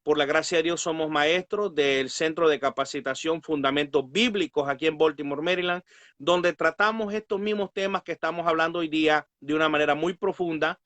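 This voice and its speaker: A man's voice: on the high side, fairly quick, a bit bright, no echo. A man speaks as a pastor and Bible teacher. His manner is earnest and devotional, sprinkled with amens. The sound is dry, with little room in it. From Guatemala. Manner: earnest, pious, peppered with amens. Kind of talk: devotional religious talk.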